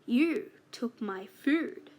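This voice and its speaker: Woman putting on an angry, slow-spoken old witch. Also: a little high, animated, a little mellow. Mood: menacing. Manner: commanding, accusing.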